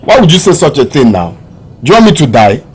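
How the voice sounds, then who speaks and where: An actor playing a devout, excitable young son, speaking expressively with a Nigerian Igbo accent and repeating words for emphasis. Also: male voice, medium pitch, normal speed, husky. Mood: anxious, pleading. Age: young adult. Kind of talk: emotional film drama acting.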